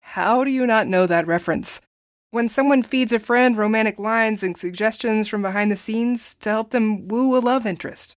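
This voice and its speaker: An actress playing a playful, meddling, romcom-obsessed sister in an audio drama, chatty, sassy and casually profane. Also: female voice, fairly high pitch, normal speed, dry recording, studio quality, lightly acted. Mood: excited, scheming. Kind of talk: audio drama acting with narration to listener.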